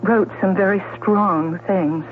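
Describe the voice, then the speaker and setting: An actress playing a distraught, guilt-ridden jilted woman in 1970s radio drama, halting and pleading as she stammers. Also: medium pitch, steady tone, faint music behind, natural voice. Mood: confessing, anguished. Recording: tinny, humming old radio broadcast recording.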